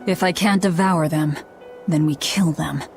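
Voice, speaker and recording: A woman's voice: sits low, measured pace, soft background music. An actress voicing a grim, vengeful young woman warrior in game dialogue, cold, curt and resolute. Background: game score.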